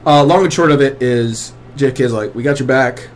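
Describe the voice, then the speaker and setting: A man's voice: mid-range voice, brisk, slight background noise. A young man co-hosting a podcast, chatting casually in a wry, joking way and laughing often. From Cuban American, raised in southern Georgia. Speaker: podcast co-host. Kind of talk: casual chat.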